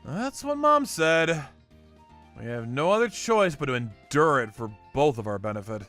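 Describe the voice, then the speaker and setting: A man's voice: fairly high pitch, very animated, normal speed, a bit bright, soft background music. A man voicing a put-upon, bickering teenage boy who grumbles, in an anime-style romcom manga dub. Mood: resigned.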